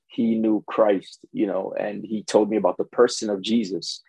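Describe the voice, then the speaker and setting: A man's voice: fairly low pitch, level tone, normal speed, creaky, a little mellow, slightly rough, no echo, low-quality recording. A man from Ghana who grew up in the United States, speaking as a pastor and guest in a conversational interview, often saying "you know". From born in Ghana, grew up in the United States from preteens.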